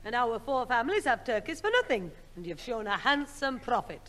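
A woman's voice: a little high, sing-song, brisk, fairly bright tone. An actress playing a sensible, firm, peacekeeping mother in a 1960s radio sitcom. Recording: reverberant, faintly hissy old radio recording.

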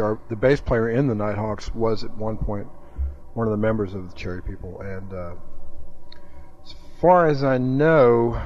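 A man talks casually as an interview guest, a drummer discussing his work in a relaxed chat format. The sound is a dull 1980s AM radio tape with faint noise underneath. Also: mid-range voice. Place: radio station studio. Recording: dull, noisy 1982 AM radio aircheck.

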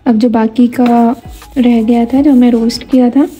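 Calm woman explaining a recipe. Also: fairly high pitch, normal speed, faint music behind. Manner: calm, instructive, explains each step. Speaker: cooking channel host. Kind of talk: step-by-step recipe narration.

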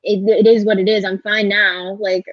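A woman's voice: medium pitch, level tone, normal speed, dry recording. Chatty young woman on a dull video call. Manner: chatty, filler-heavy, with frequent "like". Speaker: voice actor panelist. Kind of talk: casual video-call chat. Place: home kitchen. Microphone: laptop webcam on a video call. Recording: dry, dull lo-fi call audio.

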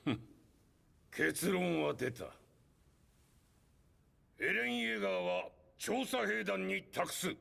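An actor playing an older, stern male supreme commander who speaks slowly and weightily. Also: on the high side, huge pitch swings, fairly bright tone, full character voice. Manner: slow, weighty, gravelly grunts.

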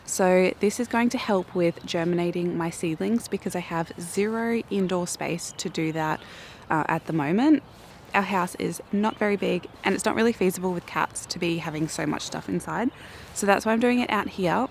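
Young Australian woman, croaky low voice, chatty vlogger, outdoors with faint noise. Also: normal speed. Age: young adult. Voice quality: croaky morning voice. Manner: chatty, self-mocking, giggly. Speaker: flower farming vlogger. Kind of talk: casual vlog talk to camera. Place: backyard garden outdoors, cold morning.

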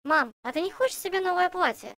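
Cartoon schoolgirl piglet: very high, swoopy, insistent, chirpy, recorded dry. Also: quick pace, full character voice. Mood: curious, unsure. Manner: chirpy, expressive, childlike questioning. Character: cheerful, insistent little girl pig, young schoolchild. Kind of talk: children's cartoon dubbing.

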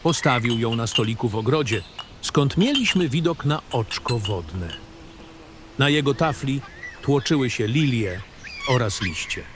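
A man narrating a fantasy audio drama in an expressive, literary storytelling style. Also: mid-range voice, a little slow, noisy background. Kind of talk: scripted first-person literary narration.

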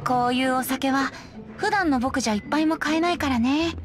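Video game bard, played by a woman: boyish, high, tipsy and dreamy, carefree, music loud underneath. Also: normal speed, lightly acted. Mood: delighted. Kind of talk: Japanese game voice acting.

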